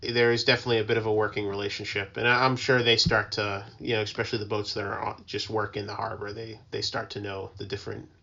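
A man explaining things as an interview guest in an informal, halting, conversational way. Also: fairly low pitch, little pitch movement, gravelly, sharp tone, dull audio, a little hiss or hum. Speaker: interview guest, working tugboat mariner.

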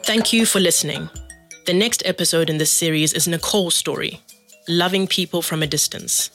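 Bright woman announcer over music. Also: on the low side, normal speed. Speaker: series announcer. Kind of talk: scripted podcast outro. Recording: music behind the voice.

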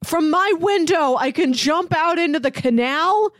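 A woman's voice: extremely high pitch, animated, a little slow, no echo, clean studio sound. A woman co-hosting a casual film discussion, retelling scenes conversationally and voicing the characters' lines. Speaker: film podcast co-host.

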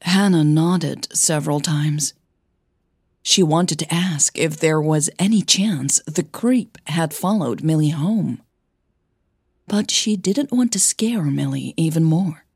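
Female narrator for audio fiction. Low, husky voice, measured and dramatic delivery. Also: a little mellow, dry recording, studio quality.

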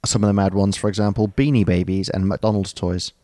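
A man with a very low voice rambles through a casual story, filling pauses with ums and tossing in jokey asides. The sound is dry and close, with no room around it. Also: fairly even intonation, mellow, dry recording. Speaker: podcast co-host. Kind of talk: casual chat.

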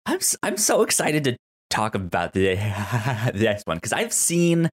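Halting, slow-paced man hosting a podcast. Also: fairly low pitch, very animated, breathy edge. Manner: halting, stammering with repeated words. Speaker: podcast host. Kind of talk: casual TV review chat.

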